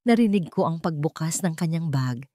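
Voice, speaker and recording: A woman's voice: deep voice, animated, moderate pace, mellow, no room sound, clean studio sound. A woman giving dramatic scripted narration of a romance story.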